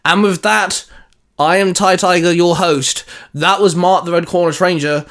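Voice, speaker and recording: Higher-pitched man hosting a casual discussion. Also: steady tone, a little slow, fairly bright tone. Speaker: host.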